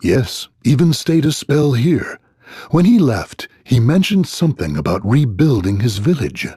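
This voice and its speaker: A folksy, husky village innkeeper. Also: male voice, mid-range voice, very animated, unhurried, dark tone, no room sound, clean studio sound, full character voice. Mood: gossipy. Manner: folksy, gossipy rustic phrasing. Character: folksy village innkeeper man, wary of strangers. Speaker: innkeeper character. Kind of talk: video game voice acting.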